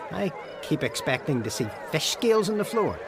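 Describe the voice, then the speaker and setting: An actor playing an excitable, cheeky young man at a feast in a radio drama. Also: fairly high pitch, normal speed, a crowd in the background, background noise. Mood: eager. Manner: excitable, giggly, hesitant.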